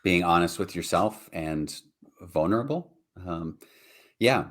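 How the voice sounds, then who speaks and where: Podcast co-host, man, very deep low voice, earnest and reflective, conversational delivery. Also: expressive, slow pace. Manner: earnest, reflective, frequent "right?" and "like". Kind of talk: casual earnest discussion.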